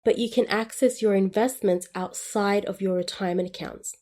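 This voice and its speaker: A woman in her twenties hosts a scripted solo podcast with an upbeat, friendly manner. Her voice has a soft, mellow tone at a middling pitch. She explains each step clearly and evenly. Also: steady tone, moderate pace. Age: 20s. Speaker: podcast host.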